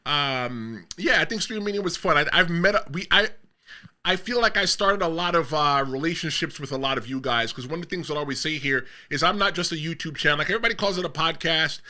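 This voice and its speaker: A middle-aged man hosting a wrestling podcast, telling rambling stories in a casual chat and laughing loudly. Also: fairly high pitch, animated, quick pace. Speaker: podcast host.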